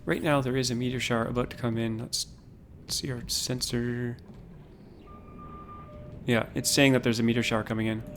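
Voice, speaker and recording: Calm, low-key man streaming a game. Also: soft background music. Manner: relaxed, unhurried, thinks aloud with restarts. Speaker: livestreamer. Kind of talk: casual gameplay commentary.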